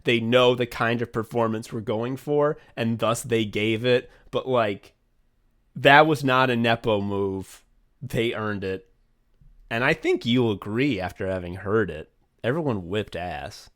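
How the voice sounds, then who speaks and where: A man co-hosting a podcast and casually looking back on writing and directing an audio drama, rambling, swearing often and leaning on "like" with quick bursts of excitement. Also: medium pitch.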